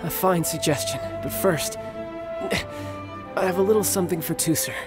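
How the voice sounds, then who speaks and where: A man playing a protective, smooth-talking young fighter and older brother in a video game, speaking in a measured, politely formal, wry way. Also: sits high. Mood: wry, gentle. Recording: game music behind the voice. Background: background music.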